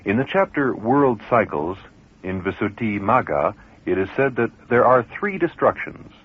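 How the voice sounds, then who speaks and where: A man reading scripted documentary narration from books in a formal, declamatory manner, with a husky voice. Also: lowish voice, sing-song, normal speed. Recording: tinny, band-limited radio recording.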